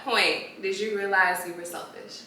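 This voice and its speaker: A woman's voice: medium pitch, steady tone, normal speed, sharp tone. A young woman co-hosting a podcast while stuffy from a cold, chatting casually and slangily and calling listeners y'all. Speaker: podcast co-host. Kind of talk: casual chat. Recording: echoey room.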